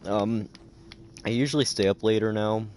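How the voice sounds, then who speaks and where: A tired man with a deep voice and a dry throat, rambling in casual livestream chat full of fillers. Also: normal speed, darkish tone, a little hiss or hum.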